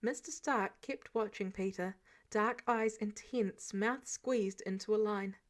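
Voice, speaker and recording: A woman's voice: mid-range voice, steady tone, a little slow. A woman reading scripted fiction aloud as a narrator. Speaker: podfic narrator.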